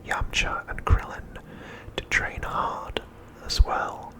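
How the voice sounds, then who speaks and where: A man narrating an ASMR recap in a breathy, unvoiced whisper, with deliberate phrasing broken by short pauses. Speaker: ASMR host.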